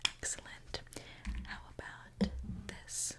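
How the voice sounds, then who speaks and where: A woman whispering a gentle ASMR roleplay as a reassuring repair mechanic, talking softly and directly to the listener. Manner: soft, gentle, reassuring, unhurried. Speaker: ASMR performer playing a robot repair mechanic.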